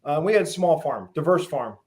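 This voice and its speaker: Middle aged massachusetts guy, kinda higher voice, laid back storytelling w lots of ums. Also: normal speed. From Western Massachusetts, USA. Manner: relaxed storytelling with many ums and lists. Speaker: guest, fish farm founder. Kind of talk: casual interview.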